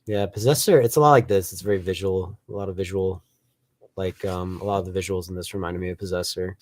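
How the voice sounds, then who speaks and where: A man with a Dutch accent casually discussing films as a panelist, in a halting way that often repeats itself. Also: low-pitched, steady tone, a little mellow. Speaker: film review panelist.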